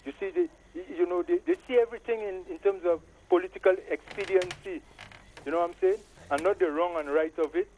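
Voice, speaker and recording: A man's voice: high voice, a little fast, a little mellow. A man with a Saint Lucian Caribbean accent giving emphatic opinions in casual patois-flavoured talk, often appealing to the listener. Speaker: caller to a live talk show. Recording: noisy call-in line.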